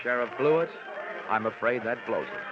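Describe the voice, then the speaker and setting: A man's voice: mid-range voice, very noisy, close to an everyday voice. An actor playing a wisecracking male insurance investigator, narrating and quipping in 1940s radio drama style. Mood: wry. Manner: dry sardonic quips, brisk narration. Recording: dull lo-fi old radio broadcast.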